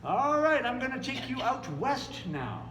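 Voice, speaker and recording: A Canadian man entertains with easygoing patter between songs. His voice sits high and moves slowly. The live room echoes around him. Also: big pitch swings, fairly bright tone, soft background music. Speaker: musician entertaining an audience.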